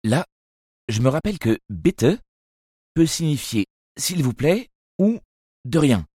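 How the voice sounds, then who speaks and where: A man reading a children's novel aloud as first-person narrator, in a measured, expressive storytelling manner. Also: fairly high pitch, husky, no echo, studio quality. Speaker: audiobook narrator.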